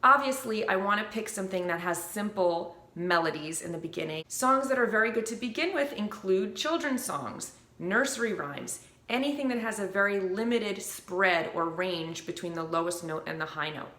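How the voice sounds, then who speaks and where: A woman vocal coach explaining breath support and pitch in a clear, upbeat teaching manner, with short sung demonstrations. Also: lively intonation, moderate pace, hardly any pauses, bright, forceful tone, roomy sound. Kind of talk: instructional video.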